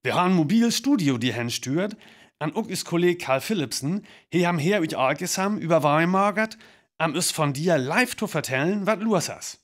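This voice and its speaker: A man's voice: on the high side, expressive, moderate pace, natural voice. An actor playing a male radio news announcer in a radio play, speaking with a North Frisian accent. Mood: businesslike.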